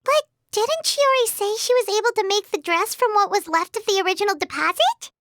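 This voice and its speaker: A woman playing a small childlike fairy companion in a video game, with a squeaky, chatty, exclamatory delivery. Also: a little fast, a bit bright, dry recording, clean studio sound. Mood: concerned. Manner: exclamatory, refers to self in third person. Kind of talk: video game character acting.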